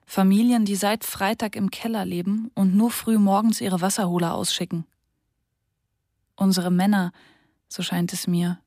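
A woman narrating calmly, with quiet intensity, and reading diary passages for a German radio documentary, recorded in a studio. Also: mid-range voice, no room sound.

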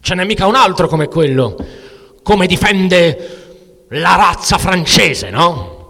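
An excitable, fiercely patriotic man, acted out by a male performer, pitched well up and loud in a long hall reverb. He is boastful and insistent, exclaiming as in a theatrical radio monologue. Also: slow, breathy edge, full character voice.

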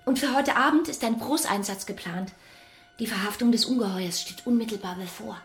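An actress playing a trusting, open-hearted young daughter of the house in a radio drama. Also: female voice, on the high side, a little fast, natural voice. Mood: innocent, curious. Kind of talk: German radio drama acting. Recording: music behind the voice.

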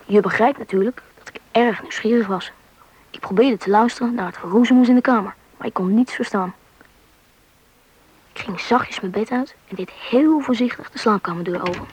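A woman's voice: on the high side, normal speed, a little mellow, breathy edge, rough recording. A woman playing a curious, anxious schoolboy who narrates and acts in a Dutch radio play. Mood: narrating, wondering.

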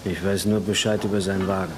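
A man's voice: deep voice, little pitch movement, quick pace, very noisy, natural voice. A man playing a casual, bigoted auto painter in a radio crime drama, offhand and slightly defensive. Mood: offhand, wary.

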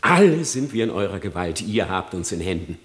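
Sly fox for verse radio drama: animated melody, flattering, falsely pious, theatrical. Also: male voice, low-pitched, fairly quick. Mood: self-justifying. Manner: unctuous, wheedling, theatrical declamation. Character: sly, smooth-tongued male fox, feigning humble piety. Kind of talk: classic German radio play verse acting.

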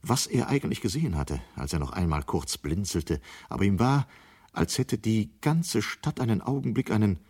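A man narrating a 1970s German radio play in a grave, deliberate, dramatic manner. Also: low-pitched, darkish tone, slightly rough, full character voice. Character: grave male storyteller of a city's destruction.

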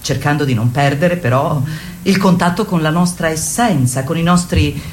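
A woman's voice: low voice, normal speed, a little hiss or hum, natural voice. A woman playing a commanding, encouraging feminist workshop leader in a radio play, exhorting her group with theatrical, rhetorical fervour. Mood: solemn, fervent. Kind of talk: radio theatre acting, declamatory.

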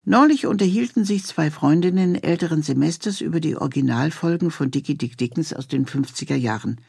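High, husky male presenter, creaky. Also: a little fast, a little mellow, no room sound, studio quality. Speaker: podcast host introducing radio series. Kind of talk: scripted, anecdotal introduction.

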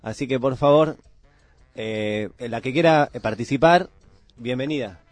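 A man's voice: mid-range voice, unhurried, no echo. A man with a Buenos Aires accent co-hosting a radio show in casual, colloquial chat. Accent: Buenos Aires Argentine. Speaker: radio co-host. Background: music.

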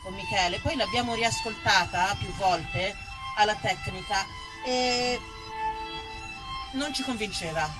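A woman's voice: mid-range voice, slow, low-quality recording. Playful woman performer over violin music. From Italy. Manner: playful, chatty, addresses the audience directly. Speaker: stage performer. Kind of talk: live theatre monologue to an audience.

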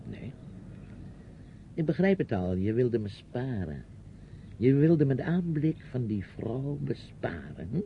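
A man's voice: medium pitch, sing-song, slow pace, pauses often, slight background noise. An actor playing a reproachful, patronising uncle questioning his niece in a radio play. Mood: quiet reproach. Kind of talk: radio drama acting. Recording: dull old radio play recording, music.

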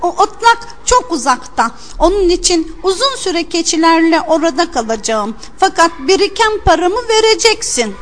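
A woman's voice: very high voice, big pitch swings, normal speed, hardly any pauses, pitched above the performer's own voice. A woman voicing a cheeky, crafty young shepherd lad in a storybook, with bold, declaiming delivery. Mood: bold, insistent. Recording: long hall-like reverb.

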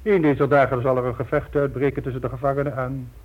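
An actor in a radio play voicing a suave, ruthless criminal mastermind, smug and polished, coolly condescending. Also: male voice, mid-range voice, flat delivery, fast talker, natural voice. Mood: coldly menacing. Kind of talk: Dutch radio play acting. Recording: dull lo-fi old radio recording.

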